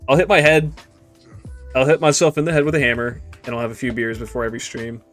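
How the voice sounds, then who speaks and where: A man's voice: mid-range voice, flat delivery, fast talker, fairly bright tone, faint music behind. Young man rambling crudely while gaming. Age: 20s. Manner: rambling, crude and joking, trailing off into mutters. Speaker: gaming streamer. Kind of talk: casual stream chat while gaming.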